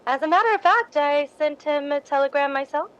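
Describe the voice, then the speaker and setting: A woman's voice: high voice, a little fast, lo-fi sound, slight background noise, natural voice. A woman playing an eager, deferential young assistant in a 1950s radio drama, polite and sweetly innocent. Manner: polite, ingenuous, eager to please.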